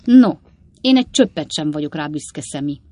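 A woman's voice: sits low, lively intonation, dark tone. A woman voicing an old coachman father in a storybook reading, grumbling and reasoning pompously with emphatic indignation. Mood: indignant. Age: older.

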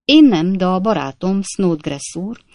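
A woman voicing a dignified, pensive elderly gentleman who speaks in a measured, grave way. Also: lowish voice, sing-song, no room sound, studio quality.

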